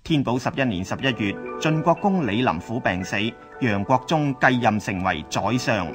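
A man's voice: mid-range voice, animated, normal speed, music in the background. A man with a Hong Kong Cantonese accent reading scripted historical narration.

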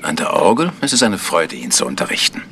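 Protective village music teacher in a radio play, earnest lowish voice, faint hiss. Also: male voice, big pitch swings, a little fast, pushed and bright, heavily acted. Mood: proud, earnest. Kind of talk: German radio mystery acting.

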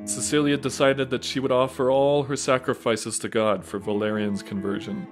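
Guy narrating a saint's story, mid-pitched voice, guitar playing behind him. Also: little pitch movement, moderate pace. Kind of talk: scripted narration of a saint's life. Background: plucked guitar music.

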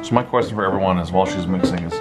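An American man in his thirties chats casually as a helper on a home cooking video. His voice is low. Plucked guitar music plays clearly behind him. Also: racing. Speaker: co-host helping in cooking vlog. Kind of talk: casual chat. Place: home kitchen, indoors.

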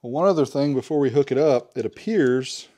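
A man's voice: mid-range voice, brisk, mellow. Chatty man unboxing gear. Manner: chatty and joking, talks to himself. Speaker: host reviewing car audio gear. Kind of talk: casual product unboxing and review.

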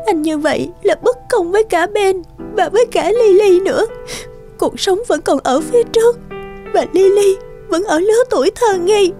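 A woman's voice: extremely high pitch, dark tone. A woman playing a worried young wife and mother in a cartoon, pleading earnestly with reproach. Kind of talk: cartoon dubbing, dramatic. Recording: music behind.

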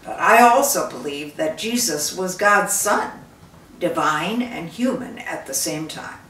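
An older woman reading the part of a gentle, devout prince with a reverent, measured delivery. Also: lowish voice, animated. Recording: roomy, distant microphone.

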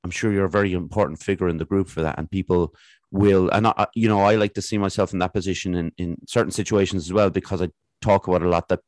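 A man's voice: sits low, steady tone, quick pace, a little mellow, no room sound. A man with an Irish accent hosting a casual podcast interview, talking in long rambling turns into a studio mic. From Ireland. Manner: rambling, many fillers and restarts. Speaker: podcast host. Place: home room. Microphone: studio mic with headphones.

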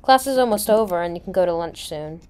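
A woman playing a kindly, reassuring schoolteacher with a gentle manner in an amateur roleplay. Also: lowish voice, expressive, fast talker, clear, resonant tone, lightly acted. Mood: friendly, helpful.

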